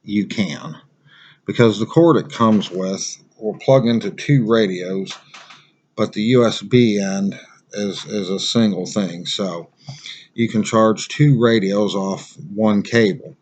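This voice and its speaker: A man giving a casual product review in a plain-spoken, halting, conversational way. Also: on the low side, darkish tone.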